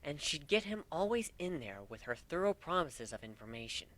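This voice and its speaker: A man's voice: fairly high pitch, big pitch swings, moderate pace, a bit bright, voice pushed up. A man voicing a sly, playful female changeling wife in a fan-fiction reading. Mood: explaining, sly. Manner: sly, matter-of-fact.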